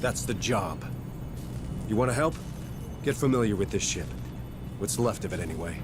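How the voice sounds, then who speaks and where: An actor playing a hard-bitten, no-nonsense marine sergeant in a video game, blunt, sardonic and commanding. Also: male voice, expressive, moderate pace, lightly acted. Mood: resolute. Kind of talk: video game cutscene acting. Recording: loud music and vehicle rumble behind.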